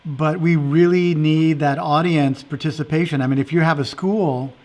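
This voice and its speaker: High-voiced man hosting, meandering. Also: steady tone, normal speed, darkish tone. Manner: meandering, earnest, filler-heavy. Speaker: festival host interviewing dancers. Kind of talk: casual interview chat.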